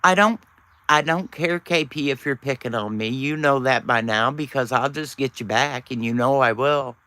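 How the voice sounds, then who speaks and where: A man's voice: medium pitch, moderate pace, sharp tone, no echo. A man, chatting casually and teasingly with viewers on his live stream. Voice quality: raspy.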